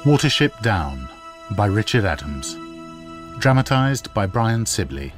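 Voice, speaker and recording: A man's voice: fairly low pitch, very animated, a little slow, over music. A man reading a scripted title announcement for a radio drama as its announcer.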